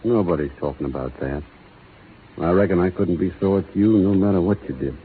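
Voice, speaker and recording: An actor playing a steady, earnest cowboy with a slow western drawl in a 1930s radio drama, tinny old broadcast sound. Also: male voice, sits low, slight background noise, close to an everyday voice.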